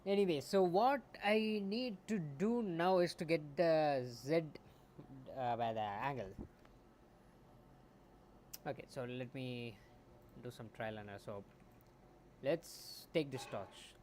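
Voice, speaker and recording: A man streaming as a game developer, thinking aloud while he codes, often muttering and trailing off into soft asides, on a quiet home mic. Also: slow pace, long pauses. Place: home room. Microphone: desktop mic. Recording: faint background noise, low home mic.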